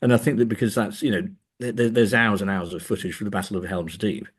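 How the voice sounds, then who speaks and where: A man's voice: fairly low pitch, steady tone, fast, husky. A man speaking as an academic guest in a discursive interview, hesitant and often repeating words as he thinks.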